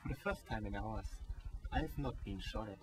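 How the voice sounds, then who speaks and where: A man's voice: on the low side, animated, normal speed, close to an everyday voice. A man acting a polite soldier in a wartime radio drama. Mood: civil, friendly. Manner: polite, mixes in German words. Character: calm, civil German soldier, former medical student.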